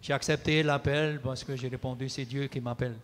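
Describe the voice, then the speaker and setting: A man interpreting a sermon in a church, measured and sometimes hesitant. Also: medium pitch, steady tone, in a large hall. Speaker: pastor and interpreter. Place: church hall.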